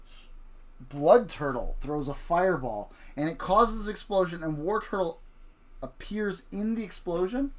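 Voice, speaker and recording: Woman host, deep voice, easygoing, guides a child's story with questions. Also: expressive, measured pace. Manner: prompting, questioning, recapping the child's ideas. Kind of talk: casual livestream storytelling.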